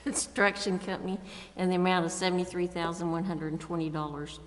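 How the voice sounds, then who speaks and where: A woman's voice: on the low side, fairly even intonation, a little fast. A woman council member formally reading out motions in a meeting chamber. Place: large indoor council chamber. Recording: echoey chamber with background noise.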